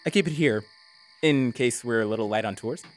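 An actor playing a gentle, patient young tour guide who speaks mildly and softly in an audio drama. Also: male voice, mid-range voice, brisk, soft background music, natural voice, low-quality recording. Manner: mild, patient and soft-spoken.

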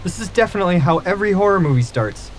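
A man's voice: high voice, expressive, very fast, poor audio. A man playing a reluctant, anxious and sarcastic crew member in a science-fiction audio drama. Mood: scared, protesting. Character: nervous, reluctant, grumbling Mars expedition crew member.

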